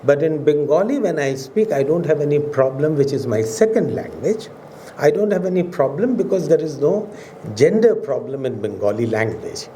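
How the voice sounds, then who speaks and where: An older man from India with an Indian English accent, whose first language is Urdu, giving a formal academic lecture in a measured, oratorical way, pushing his voice up to stress key words. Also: on the high side, big pitch swings, warm tone, slight background noise. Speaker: professor giving a public lecture. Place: museum auditorium.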